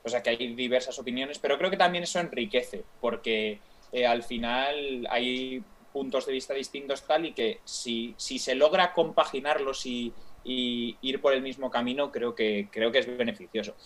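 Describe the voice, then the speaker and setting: A young man with a Peninsular Spanish accent speaks as a guest on a casual live stream. His voice is mid-pitched, and he talks in a friendly, hesitant way, stopping often to restart with fillers. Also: moderate pace, hardly any pauses, fairly bright tone, rough recording. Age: young adult. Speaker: guest YouTuber.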